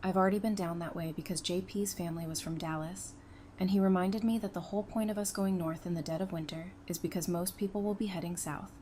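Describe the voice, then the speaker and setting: Lowish-voiced practical female survivor played by an actress. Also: fairly even intonation, fast talker, mellow, a little hiss or hum, natural voice. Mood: explaining. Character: practical, easygoing adult woman, a survivor and counsellor. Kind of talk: audio drama acting.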